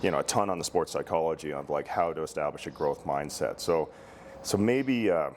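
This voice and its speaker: Very low-voiced man hosting a casual interview. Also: a little hiss or hum. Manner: halting, repeats words while phrasing questions. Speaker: interview host, girls hockey director.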